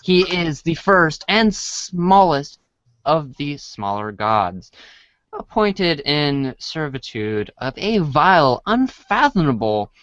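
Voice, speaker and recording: A man reading a storybook aloud in a dramatic read-along style, halting and stumbling over long words. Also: fairly high pitch, sing-song, a bit bright, no echo, lo-fi sound. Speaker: reader in a comedic reader's theater show.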